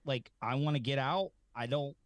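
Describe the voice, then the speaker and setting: A man's voice: medium pitch, moderate pace, crowd noise. A man chatting casually on a talk show, musing aloud and asking questions. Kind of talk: casual radio chat.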